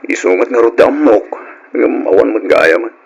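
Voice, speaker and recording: An actor playing an easygoing husband and dutiful son in an Ilocano radio drama. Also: male voice, on the low side, lively intonation, moderate pace, vocal fry, breathy edge, muffled recording, exaggerated voice. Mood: conversational. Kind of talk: old-style radio drama acting in Ilocano. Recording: old radio drama recording.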